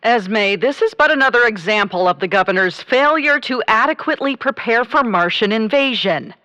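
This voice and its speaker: A woman's voice: a little high, expressive, normal speed, sharp tone, no echo. An actress playing a self-important, folksy female politician in a comedic radio drama. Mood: self-assured.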